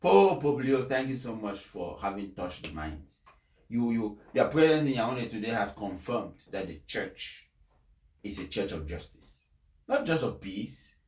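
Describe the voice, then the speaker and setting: A man speaking in an emphatic, deliberate way, like someone delivering a speech and commenting on it. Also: fairly low pitch, very animated, a little mellow. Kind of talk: formal read-aloud speech, then impassioned personal commentary. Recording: echoey, reverberant room sound.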